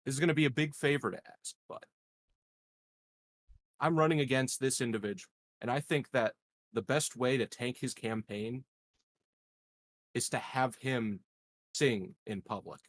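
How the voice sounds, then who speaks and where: A man playing a deadpan, scheming political candidate in a tabletop game, speaking hesitantly and with sly politeness. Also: mid-range voice, a little slow, long pauses, no room sound, natural voice. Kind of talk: improvised tabletop roleplay.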